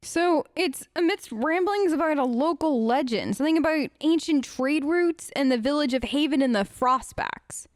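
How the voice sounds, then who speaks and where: High-voiced woman doing playful game commentary. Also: normal speed, few pauses, no room sound, clean studio sound. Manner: skeptical, chatty, playfully questioning. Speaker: let's play host. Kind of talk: casual role-play game commentary, improvised in-character dialogue. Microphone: close, dry microphone.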